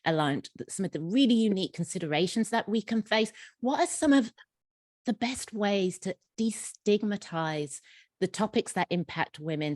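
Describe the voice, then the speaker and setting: Female moderator, encouraging, slightly low-pitched; dry, close sound. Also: no room sound. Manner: warm, encouraging, repeats words when starting sentences. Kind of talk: hosting a panel conversation. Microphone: video call.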